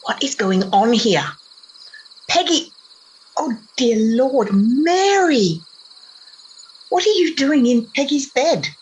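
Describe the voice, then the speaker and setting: A woman's voice: high-pitched, sing-song, slow, pauses often, husky, heavily acted, rough recording. A young girl playing a stern, cruel schoolmistress in an amateur radio play, imperious and scolding. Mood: alarmed, scolding. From Australia. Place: at home over a video call.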